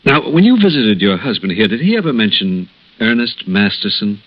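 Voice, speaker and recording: Deep-voiced, grave American radio-drama doctor. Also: male voice, very animated. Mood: grave, explaining. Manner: measured, hesitant, solemn. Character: grave, hesitant male hospital doctor breaking bad news gently. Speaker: radio actor. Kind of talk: 1960s radio drama acting. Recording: dull lo-fi vintage radio recording.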